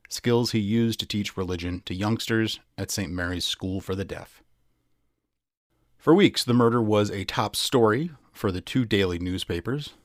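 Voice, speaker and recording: A man reads a true-crime article aloud as a dramatic narration. His voice sits a little low, and the sound is dry and close, with very little room in it. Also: no room sound. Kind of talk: dramatic reading of a newspaper article.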